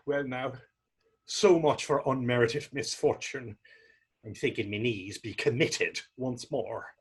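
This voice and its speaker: A man's voice: medium pitch, takes it slowly, husky. A man playing a naive, earnest young fellow in readers theater, speaking rambling, guileless old-fashioned dialect. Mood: eager, smitten. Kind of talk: readers theater stage acting.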